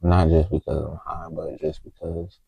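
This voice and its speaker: A man recording a heartfelt, halting confessional vlog on a handheld phone in a small closet, with a TV faintly audible from the next room. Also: very deep voice, flat delivery, creaky, slightly rough, dry recording, phone-quality sound. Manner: halting, heartfelt, stops often to find words. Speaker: vlogger. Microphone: handheld phone camera. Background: faint TV music from the next room.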